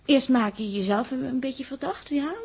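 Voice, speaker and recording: Coy, high-voiced Dutch radio-drama heroine. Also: female voice, moderate pace, creaky, darkish tone, husky, full character voice. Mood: probing, reproachful. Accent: Netherlands Dutch. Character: coy, probing young female crew member, quick to challenge. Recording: muffled 1960s radio recording.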